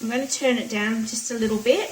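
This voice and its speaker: A hoarse woman chatting through a cooking demonstration in her home kitchen, explaining each step plainly. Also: a little high, fairly even intonation, fast, a little hiss or hum. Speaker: home cooking channel host. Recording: roomy kitchen audio from a distance.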